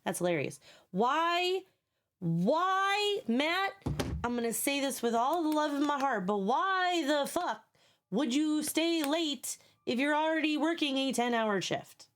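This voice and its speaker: A woman's voice: high voice, expressive, measured pace. Woman streamer, scratchy sleepy voice, blunt, sarcastic, swears a lot, casual chat. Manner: blunt and sarcastic, swears freely, repeats herself.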